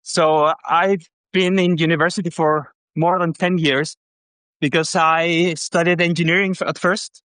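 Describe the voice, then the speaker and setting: A man's voice: fairly high pitch, flat delivery, measured pace, fairly bright tone, dry recording. A man in his thirties with a Chilean Spanish accent, explaining himself to a host in hesitant second-language speech. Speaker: caller. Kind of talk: conversational, explaining.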